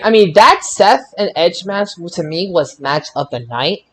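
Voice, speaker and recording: A man hosting a casual wrestling review podcast, speaking haltingly with stammered restarts. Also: sits high, expressive, a bit bright, a little hiss or hum. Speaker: podcast host.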